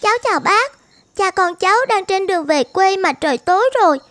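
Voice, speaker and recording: A woman's voice: very high-pitched, lively intonation, brisk, fairly bright tone. A woman voicing a devoted, determined fifteen-year-old girl in a cartoon, polite and earnest. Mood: earnest pleading. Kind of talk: animated film dubbing. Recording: music behind the voice.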